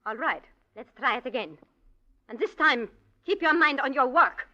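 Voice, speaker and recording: A woman's voice: high-pitched, lively intonation, moderate pace. An actress playing a cold, exacting female ballet mistress in a 1940s radio drama, clipped and disdainful. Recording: dull old radio broadcast.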